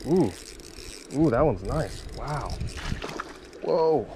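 A man filming himself fishing on a river bank with a chest-mounted camera, excitedly calling out to viewers as he fights and lands fish. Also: mid-range voice, drawn-out words, background noise. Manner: exclaims often, talks to viewers. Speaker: fishing vlogger. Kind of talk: excited casual commentary while fishing. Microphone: chest or head mounted action camera.

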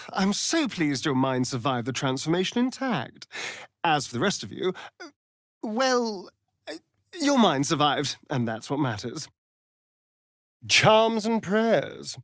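An actor playing a centuries-old, flamboyant and sardonic vampire rogue in a video game, with an arch, theatrical drawl. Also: male voice, fairly high pitch, no room sound, clean studio sound, heavily acted. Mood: wry. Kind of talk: video game character acting.

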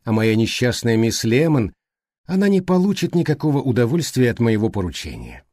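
Man reading a courteous, mellow-voiced detective. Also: mid-range voice, very animated, no echo, clean studio sound. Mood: wistful. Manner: polite, deliberate. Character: thoughtful, courteous, exacting male detective. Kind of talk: audiobook character reading.

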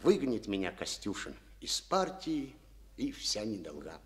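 A man's voice: fairly low pitch, very animated, a little slow. A bitter, stubborn old collective-farm chairman. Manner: folksy, bitter, full of proverbs. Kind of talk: 1950s studio radio play acting.

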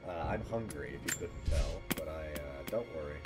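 A man's voice: very deep voice, lively intonation, normal speed, loud music behind the voice. A man chatting casually on a livestream in a playful, teasing manner, close on the mic. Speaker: streamer and voice actor.

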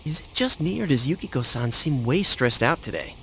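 A man's voice: medium pitch, very animated, a little fast, fairly bright tone, slight background noise. A man playing an awkward, casual teenage schoolboy in an anime-style video game, with a hesitant, stammering, informal way of talking. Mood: concerned, evasive.

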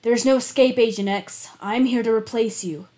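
Woman reading as a cocky hitman guy from a story, taunting, fairly high voice. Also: moderate pace, mellow, lightly acted. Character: arrogant male rival agent, a hitman.

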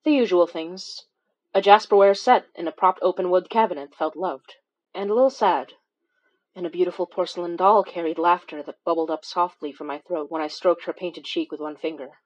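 A woman's voice: lowish voice, normal speed, muffled recording, lightly acted. An actress playing a wry, weary young woman who recounts eerie events in a conversational, hesitant way, as in a horror fiction podcast. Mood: wry storytelling. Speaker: audio drama lead narrator. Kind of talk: confessional first-person horror podcast narration.

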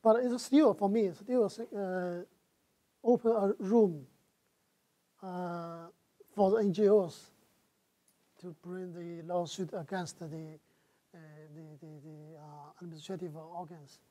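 A middle-aged man from China with a Chinese accent, answering audience questions in a lecture hall on a lapel mic, halting and full of "uh" fillers and restarts. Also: high-pitched, fairly even intonation, dark tone. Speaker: guest lecturer.

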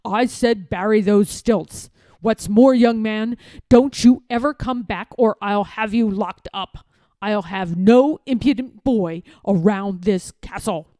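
A woman's voice: on the low side, slow pace, dark tone, no room sound, voice pushed down. A woman voicing a scheming, bullying lord of a royal castle in a children's story, with a harsh, commanding manner. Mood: harsh anger.

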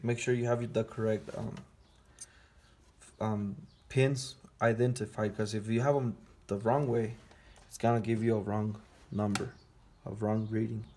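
A man's voice: fairly low pitch, little pitch movement, measured pace, a little mellow. A man walking through a car sensor test in a hands-on repair tutorial, talking casually step by step while he works in a garage. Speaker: auto mechanic.

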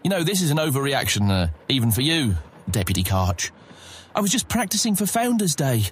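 A man's voice: mid-range voice, big pitch swings, fairly quick, a little hiss or hum, lightly acted. A man playing a wary, hungover young thief. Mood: defensive. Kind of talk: full cast audio drama.

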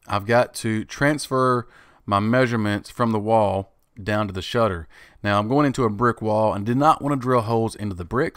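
Easygoing low-voiced man explaining DIY. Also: smooth, no echo, studio quality. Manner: plain, easygoing step-by-step explaining. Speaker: woodworking channel host. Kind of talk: DIY tutorial voice-over.

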